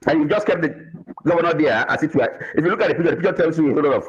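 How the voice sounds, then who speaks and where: A man with a Nigerian accent giving political commentary as a panel guest, emphatic and deliberate, repeating phrases for weight, on muffled audio. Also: a little high, breathy edge.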